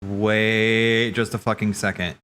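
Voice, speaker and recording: A man streaming casual, rambling theory commentary, thinking aloud haltingly, correcting himself and swearing casually. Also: lowish voice, flat delivery, bright, forceful tone, clear, resonant tone.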